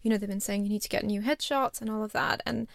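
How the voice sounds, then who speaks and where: A woman in her thirties who grew up in Singapore talks in a relaxed, chatty way. She pokes fun at herself. Her voice has a gravelly, creaky texture, and the recording is close and dry. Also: mid-range voice, quick pace, no echo. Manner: chatty and self-deprecating, often laughs at herself. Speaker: startup founder, guest. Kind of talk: casual interview chat.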